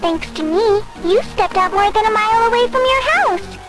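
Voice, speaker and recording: A childlike digital monster in an audio drama. The voice is extremely high and swoops around, drawling slowly with clingy, possessive pleading. Loud music plays behind it. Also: female voice, lively intonation. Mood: eerie, possessive. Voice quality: childlike, cutesy. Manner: slow, pleading, clingy delivery.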